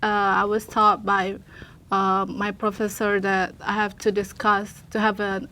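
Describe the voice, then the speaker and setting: A young woman, answering questions at a student press conference. Her English comes in halting bursts, full of small fillers and restarts as she hunts for the right word. Her voice sits at an ordinary pitch for a woman. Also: completely flat delivery, pushed and bright. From Indonesia. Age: young adult. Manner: hesitant, many fillers, searches for words. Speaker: international student.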